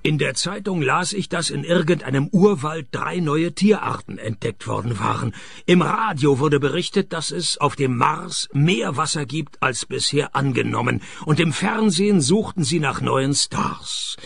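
An actor playing a cynical, hard-up private detective with deadpan wit, narrating and talking in a scripted radio play. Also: male voice, fairly high pitch, a little slow, gravelly, breathy edge, full character voice. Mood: sardonic. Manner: deadpan, sardonic, dry wit.

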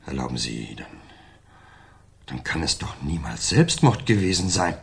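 An actor playing an indignant gentleman suspected as an accomplice, protesting defensively in a 1970s German radio crime play. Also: a bass voice, big pitch swings, a little slow, gravelly, exaggerated voice. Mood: uneasy. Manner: affronted, protesting.

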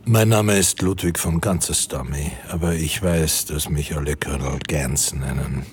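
An actor playing a self-important army newspaper editor who narrates a radio play in a measured, weighty way. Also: male voice, rumbling low, a little hiss or hum. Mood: grave, proud.

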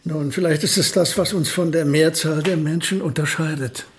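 A man acts out an elderly physicist of genius in a radio play, his voice notably high for a man. He speaks in precise, politely formal phrases, modest on the surface and quietly stubborn underneath. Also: fairly even intonation, moderate pace, a little hiss or hum, close to an everyday voice. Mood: courteous, modest. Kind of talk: German radio play acting.

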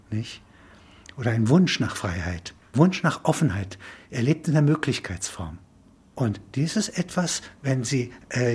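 A man with a very husky, breathy-rough voice at a medium pitch talks as an interview guest. He develops abstract ideas discursively, pausing mid-thought, stressing key words and adding short tag questions. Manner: discursive, halting emphatic phrasing with tag questions. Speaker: interview guest explaining a film concept. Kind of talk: spontaneous intellectual discussion.

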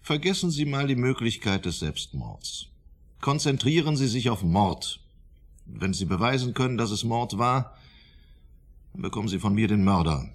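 An actor playing a shrewd, self-important psychiatry professor, formal and probing, with a husky voice in a radio drama. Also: male voice, medium pitch, animated, moderate pace. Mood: shrewd, challenging.

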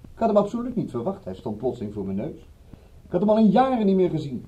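A man's voice: high-pitched, wildly swooping pitch, fast talker, muffled recording. A man acting in a radio play as a polite, obliging Frenchman, courteous and embarrassed as he apologises. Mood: apologetic.

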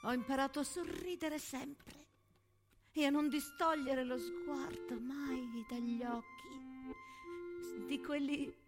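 An actress playing a young First World War nurse, weary, angry and compassionate, in an intense theatrical diary monologue with deliberate pauses. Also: female voice, high-pitched, full character voice. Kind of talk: dramatic stage monologue over music.

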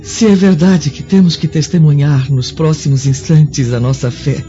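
A woman playing a gentle, devout Roman noblewoman in prison, who speaks slowly and solemnly in a radio drama. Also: sits low, steady tone, close to an everyday voice. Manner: slow, solemn and tender. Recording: dull lo-fi recording with music. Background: soft music score.

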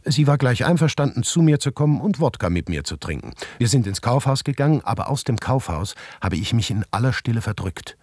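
A man narrating a literary story in the first person for a radio play, acting it out with shifting pitch and volume. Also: very animated, brisk, few pauses, darkish tone, dry recording, studio quality.

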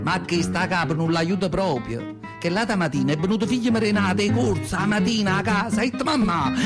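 An ancient peasant mother from a radio play, speaking in a southern Italian accent. The voice sits high, and the delivery is folksy, emphatic and stubbornly plainspoken. Guitar music plays behind the monologue. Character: nearly hundred-year-old southern Italian peasant mother, plainspoken, feisty. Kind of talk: theatrical radio monologue in dialect.

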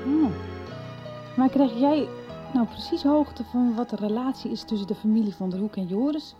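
An actress with a husky voice playing an earnest, opinionated woman in a conversational radio soap. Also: on the high side, moderate pace, faint music behind, lightly acted. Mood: probing. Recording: dull 1990s radio recording.

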